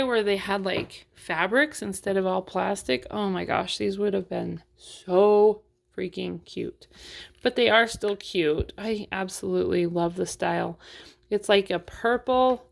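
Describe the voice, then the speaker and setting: A woman's voice: mid-range voice, vocal fry. A woman chatting casually through a doll unboxing review, frank and full of fillers, while losing her voice.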